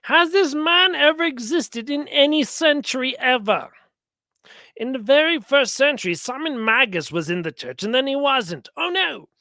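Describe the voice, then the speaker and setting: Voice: high-pitched, lively intonation, normal speed, pushed and bright, no echo, clean studio sound. A commentator giving sarcastic religious reactions to clips, mocking and often acting out imagined exchanges.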